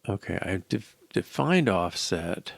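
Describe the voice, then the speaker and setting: Older man, low-pitched creaky voice, unhurried, hesitant think-aloud coding commentary, muttering. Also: big pitch swings, dry recording. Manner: hesitant, muttering to himself, musing. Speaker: programmer live-coding a mobile app. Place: small indoor room at a desk.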